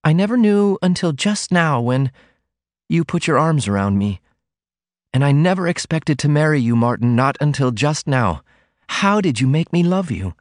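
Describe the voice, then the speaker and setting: A man voicing a shy, tender young woman in love, speaking softly and earnestly as in an audiobook. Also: fairly high pitch, expressive, normal speed, no room sound, studio quality, voice pushed up, natural voice. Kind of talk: audiobook character dialogue.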